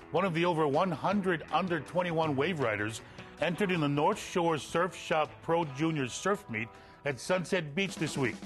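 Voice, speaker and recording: A man's voice: on the high side, a bit bright. Middle-aged man anchoring TV sports news. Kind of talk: scripted sports news narration. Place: TV news studio.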